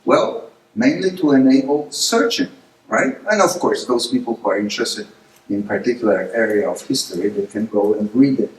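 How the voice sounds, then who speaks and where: A middle-aged man whose first language is Polish, giving a lecture presentation, hesitant with many filler pauses but rising with emphasis on key points. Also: on the low side. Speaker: guest speaker. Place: lecture room. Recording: echoey hall, distant mic, faint noise.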